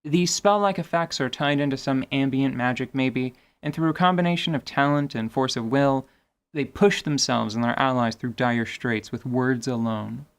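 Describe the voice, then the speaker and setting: A man's voice: mid-range voice, normal speed, clear, resonant tone. A man hosting a podcast, giving semi-scripted tabletop gaming commentary in an articulate, wordy way with wry, playful asides. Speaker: podcast host.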